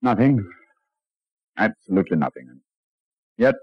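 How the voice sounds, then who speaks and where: A brilliant, brooding detective in a 1940s radio play. His delivery is clipped and theatrical, with a very lively pitch that turns commanding. The old recording is dry and close. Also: measured pace, gravelly, husky, dry recording, highs cut off, heavily acted.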